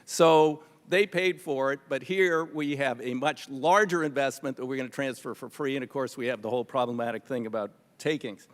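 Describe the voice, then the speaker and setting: A man's voice: medium pitch, moderate pace, hardly any pauses. A man with an American accent and a mid-range voice speaks off the cuff at a legislative hearing. He is skeptical and pressing, stopping and starting as he argues. The large room adds a long reverberation. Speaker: legislator questioning witnesses at a hearing.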